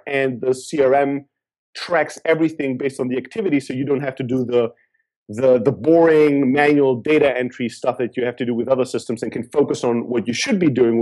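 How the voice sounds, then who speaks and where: Male guest entrepreneur, mid-pitched, lively and chatty. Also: fairly quick, low-quality recording. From Greece, raised in Germany. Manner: energetic, talkative, with fillers and repeats. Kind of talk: interview answers.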